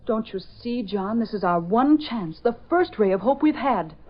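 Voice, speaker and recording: An actress playing a practical, anxious shopkeeper's wife, earnest and plainspoken in 1930s radio drama style. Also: female voice, on the high side, animated, moderate pace. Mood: resolute. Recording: muffled old radio recording.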